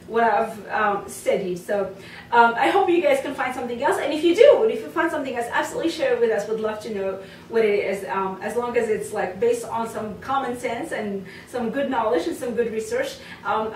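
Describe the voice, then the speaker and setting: Moroccan-raised woman, dance teacher, chatty and rambling; slightly high voice, mic set back in an echoey room. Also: slight background noise. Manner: chatty, many fillers and asides. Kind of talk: casual talk to camera. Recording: roomy sound from a distant mic.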